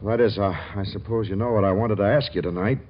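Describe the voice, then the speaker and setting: An actor playing an earnest, smitten, naive young bridegroom in 1940s radio comedy, with a halting, stammering delivery. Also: male voice, on the low side, steady tone, natural voice. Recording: muffled, hissy 1940s radio recording.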